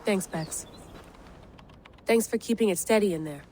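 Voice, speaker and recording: A woman's voice: mid-range voice, unhurried, close to an everyday voice. An actress playing a determined, weary woman researcher in a dramatic video game cutscene, speaking in a terse, earnest way. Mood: quiet, grateful. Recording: reverberant space, loud ambient noise behind.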